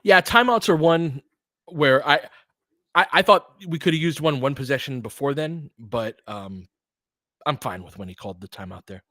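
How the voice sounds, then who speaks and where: A man's voice: on the high side, animated, normal speed, pushed and bright, no echo, studio quality. A man hosting casual live basketball commentary and analysis, thinking aloud in a conversational way. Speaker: host, fan play-by-play commentator.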